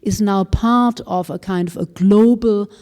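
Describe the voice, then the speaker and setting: A woman moderating a panel discussion, speaking in a measured, thoughtful way. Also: medium pitch, dark tone. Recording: reverberant lecture hall.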